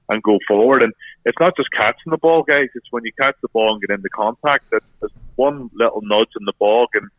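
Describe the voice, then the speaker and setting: A man whose voice is going hoarse gives frank, halting answers as a podcast guest, stopping and restarting as he talks. His voice sits low and flat. It comes through a tinny, dry phone line. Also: no room sound. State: losing his voice. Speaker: former rugby player, guest pundit. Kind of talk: podcast interview over phone.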